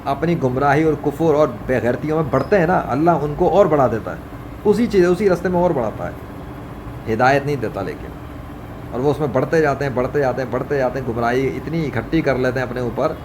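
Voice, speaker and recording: A man delivering an informal religious monologue in an indignant, scolding way, repeating phrases for emphasis. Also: fairly high pitch. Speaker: religious vlogger. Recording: clearly noisy, ambient background hum. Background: ambient noise, running fan.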